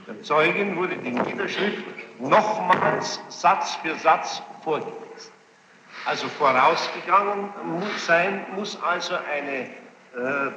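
A man questioning a witness as a presiding judge, formal and probing, choosing his words deliberately with pointed challenges. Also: fairly high pitch. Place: large reverberant courtroom. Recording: muffled, noisy archival courtroom tape, echoing hall.

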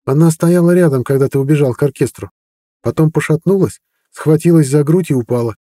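A man reading an audiobook voicing a blunt young woman warrior who talks frankly and briskly. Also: a little high, dark tone, no room sound, studio quality, pitched above the performer's own voice, lightly acted. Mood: recounting. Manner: brisk, frank and matter-of-fact.

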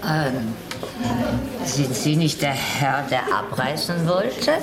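A woman's voice: low-pitched, animated, slow, over loud music. An actress playing a sceptical older American woman tourist in a radio drama, with a dry, pointed manner. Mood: wry.

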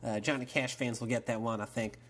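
A man's voice: medium pitch, fairly even intonation, a little fast, pitched below the performer's own voice, close to an everyday voice. A man doing a rambling Texan caller. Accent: Texan, put on. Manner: rambling, full of fillers, drawling. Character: dim-witted Texan country man caller. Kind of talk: improvised call-in sketch.

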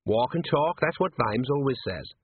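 A man's voice: mid-range voice, expressive, normal speed, pitched above the performer's own voice. Man doing a rambling old sergeant. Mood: worried. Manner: rambling, folksy working-class talk. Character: aging, portly, worried watch sergeant, man. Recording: dry husky phone-like audio.

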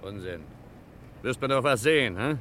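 A man's voice: mid-range voice, expressive, normal speed, fairly bright tone, a little hiss or hum, close to an everyday voice. An actor playing a sceptical street policeman in an old radio crime play, dismissive and folksy, tagging on little questions. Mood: sceptical, patronising. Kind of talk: 1950s German radio crime play acting.

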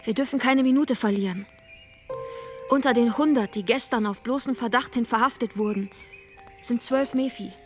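An actress in a German radio play playing a fervent revolutionary leader, emphatic and persuasive. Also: female voice, fairly high pitch, normal speed, close to an everyday voice. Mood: urgent, persuasive. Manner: emphatic, rhetorical, insistent. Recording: music behind the voice.

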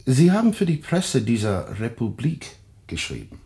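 A man's voice: medium pitch, wildly swooping pitch, normal speed, warm tone. An actor playing a suspicious male official who questions a refugee in an insistent, probing way in a radio drama. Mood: interrogating. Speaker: immigration official.

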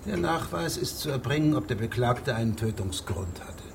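An actor playing a shrewd federal judge in a radio drama, formal, measured and deadpan, with pedantic precision. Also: male voice, medium pitch, gravelly, background noise.

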